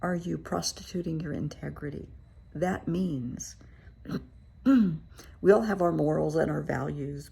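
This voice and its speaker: Raspy, croaky woman with a cold. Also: low voice, warm tone. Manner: frank, encouraging, speaks straight to the viewer. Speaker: therapist. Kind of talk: casual direct-to-camera advice talk. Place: her living room.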